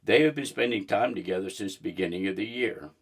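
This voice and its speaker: A man reading a children's book aloud in an expressive storybook narration style. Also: lowish voice, fast.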